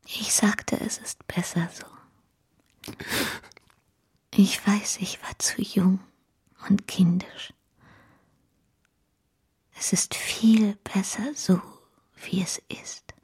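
An actress playing a tender, weak, childlike young wife on her deathbed in a radio drama, with a faint, halting, breathy whisper. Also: mid-range voice, fairly even intonation, exaggerated voice. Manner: faint, gentle, halting delivery.

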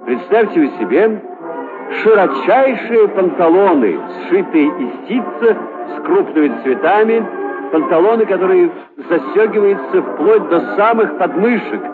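A man narrating a children's story in an expressive, scripted storytelling style. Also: high-pitched, unhurried, phone-quality sound, music in the background.

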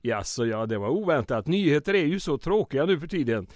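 A fretful small-town mayor in a vintage-style radio play. His voice sits high, and his manner is polite, nervous and stammering. The sound is dry. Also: sing-song, no echo. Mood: nervously polite. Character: anxious, fussy male town mayor secretly aiding resistance. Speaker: actor in radio drama. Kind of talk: old-time radio drama acting.

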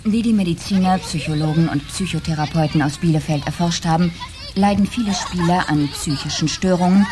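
Low-voiced sober female consultant played by an actress. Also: brisk, background noise, natural voice. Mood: sober, factual. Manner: formal, matter-of-fact. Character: sober female consultant presenting a scientific report. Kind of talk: scripted German radio drama, report reading.

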